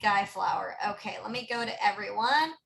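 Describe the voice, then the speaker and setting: A woman co-hosting a ground school meeting on a video call, speaking in a quick, upbeat way and laughing easily. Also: mid-range voice, creaky, reverberant, a crowd in the background. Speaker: meeting co-host.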